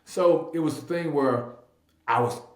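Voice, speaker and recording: A man's voice: fairly high pitch, measured pace. Man around thirty, emphatic storyteller, echoey room. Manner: emphatic, repeats phrases, acts out quoted dialogue. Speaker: real estate agent and investor being interviewed. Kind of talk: animated personal storytelling in casual talk.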